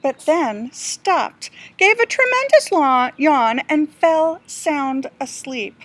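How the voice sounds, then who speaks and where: A woman reading a children's storybook aloud like a teacher, with deliberate, expressive storytelling. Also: sits high, no echo.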